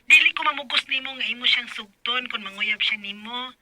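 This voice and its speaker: A woman's voice: fairly high pitch, a little fast, very noisy, natural voice. A woman playing an apologetic, self-sacrificing young student in a radio drama, pleading and emphatic, with a Cebuano accent.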